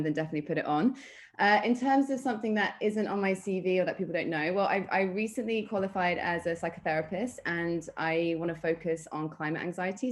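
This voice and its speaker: A woman introducing herself on a panel over a video call, chatty and enthusiastic. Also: on the low side.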